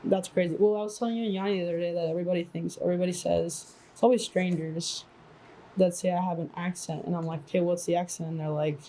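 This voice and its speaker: A young woman hosts a relaxed, unscripted conversation with a mellow, dark tone to her voice. She talks loosely and casually, with plenty of "like" and "um" and some swearing thrown in. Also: fairly low pitch, fast, slight background noise, poor audio. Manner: casual and profane, lots of fillers. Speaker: podcast host. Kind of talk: casual chat.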